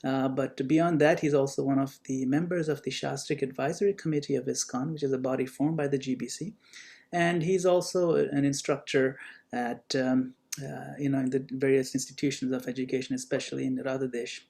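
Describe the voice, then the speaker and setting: Man, gravelly, mellow, temple host, halting formal introduction. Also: medium pitch, fairly even intonation. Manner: halting, many fillers.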